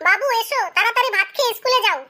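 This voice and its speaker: A woman's voice: very high voice, racing, over music. A woman voicing a young female cartoon character in a comic, exaggerated, sing-song way. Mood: doting. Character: young female: doting mother or shy village schoolgirl.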